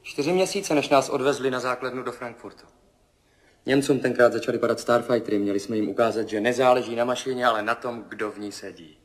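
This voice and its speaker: A man's voice: medium pitch, steady tone, close to an everyday voice. An actor playing a wry, world-weary former military pilot talking intimately with his lover in a 1970s radio drama. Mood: wry, subdued. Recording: music clearly under the voice.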